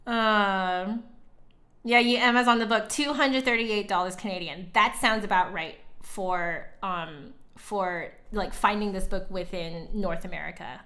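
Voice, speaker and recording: A woman's voice: mid-range voice, measured pace, pushed and bright. A woman hosting a livestream, chatting casually with viewers in a giggly, rambling way full of asides. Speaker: livestream host, musician.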